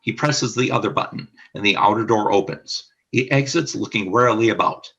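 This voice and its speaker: A man's voice: on the low side, a little fast, creaky, fairly bright tone, slightly rough, lo-fi sound. A man reading scripted narration for a radio play, describing the action in the present tense.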